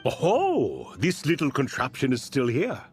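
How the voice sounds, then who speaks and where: An actor playing a courtly ancient spirit in a cat's body in a video game, with an eloquent, old-fashioned, easily offended and chatty manner. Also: male voice, mid-range voice, huge pitch swings, unhurried, darkish tone. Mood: musing, chatty. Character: courtly ancient spirit speaking through a chubby cat. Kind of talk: English video game voice acting. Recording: background music behind the voice.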